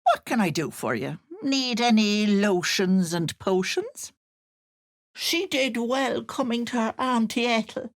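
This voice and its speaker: Sly old hag from a video game, sickly sweet but menacing, slow and wildly singsong, super dry sound. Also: female voice, fairly high pitch, sing-song, dry recording. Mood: sweetly coaxing. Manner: cloying endearments laced with threats. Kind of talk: video game character voice lines.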